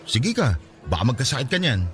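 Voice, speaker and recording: An actor playing Raj, a caring young man giving his childhood friend advice, in a radio drama with soft music underneath. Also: medium pitch, wildly swooping pitch, fairly quick.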